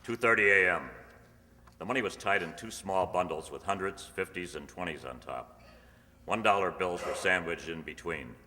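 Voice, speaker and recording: An actor playing a matter-of-fact, deadpan police detective in a 1950s-style radio crime drama. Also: male voice, deep voice, moderate pace. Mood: deadpan, businesslike. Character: male police detective narrating, posing as a drug buyer. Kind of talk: 1950s radio crime drama, clipped first-person narration. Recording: hall-like reverb, music underneath.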